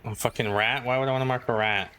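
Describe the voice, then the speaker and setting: A man's voice: medium pitch, fairly even intonation, fast, sharp tone. A man doing casual, slangy, sweary gameplay commentary and chatting with his viewers. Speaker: video game livestreamer. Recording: clear game noise behind voice. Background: video game sound.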